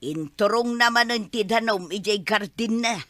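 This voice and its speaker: Woman acting friendly, easily indignant villager, emphatic, sharp voice, dry studio-like sound, radio comedy drama. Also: on the high side, moderate pace, dry recording, lightly acted. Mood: aggrieved.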